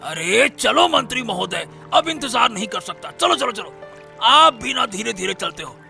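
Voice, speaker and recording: A man voicing a greedy, excitable young boatman in a cartoon story, impatient and full of flattery. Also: extremely high pitch, moderate pace, vocal fry, full character voice. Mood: impatient, eager. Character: greedy, gleeful young boatman fawning on a minister. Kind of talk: animated Hindi cartoon story acting. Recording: music under the voice.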